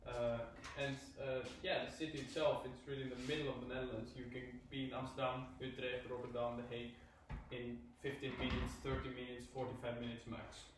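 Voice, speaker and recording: A man's voice: medium pitch, level tone, fairly quick, few pauses. A man, chatting casually and teasingly in an unscripted group conversation. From Greece. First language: Greek. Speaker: student society board member and co-host. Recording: very echoey, distant mic, noisy room.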